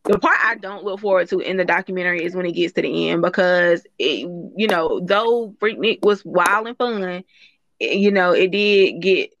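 A woman's voice: on the low side, normal speed, a bit bright. A woman guest co-host chats freely on a podcast, laughing and restarting her sentences as she thinks aloud. Her audio sounds muffled and lo-fi. It is dry, with no room echo. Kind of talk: casual chat.

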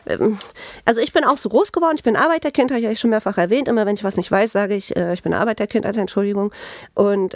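A woman's voice: medium pitch, no room sound, clean studio sound. A woman teacher co-hosting a casual podcast, talking with a cold and many hesitant fillers. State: has a cold.

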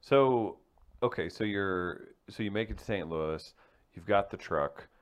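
A man's voice: on the low side, measured pace. A man hosting a casual interview, encouraging his guest with frequent short replies. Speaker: podcast host.

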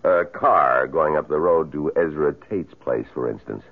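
A courteous police sergeant in a radio play, with an extremely deep, husky voice. He is polite and methodical, heard through tinny vintage broadcast sound. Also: animated, measured pace, exaggerated voice. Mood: polite, probing. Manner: polite, hesitant, matter-of-fact. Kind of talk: old-time radio drama acting. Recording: muffled, lo-fi old radio broadcast.

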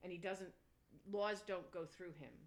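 Low-voiced chatty older woman. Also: unhurried. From Pennsylvania. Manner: chatty, explains local government plainly, laughs often. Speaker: township supervisor. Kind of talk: casual interview conversation.

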